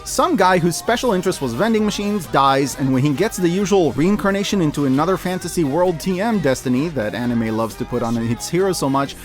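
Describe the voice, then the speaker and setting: Male reviewer, high register, very expressive swings, emphatic and wry, casual scripted commentary over music. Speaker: video reviewer.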